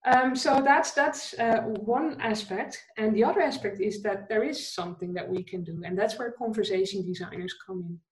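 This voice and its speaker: A woman's voice: mid-range voice, normal speed, hardly any pauses. Dutch woman, English second language, engaged podcast guest, roomy-sounding recording. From Netherlands. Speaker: guest, conversation designer. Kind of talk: podcast interview. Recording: roomy echo.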